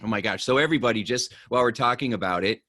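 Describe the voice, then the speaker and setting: A man's voice: medium pitch, racing, sharp tone, dry recording. A man hosting a podcast, gushing with praise in casual interview chat. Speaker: podcast host.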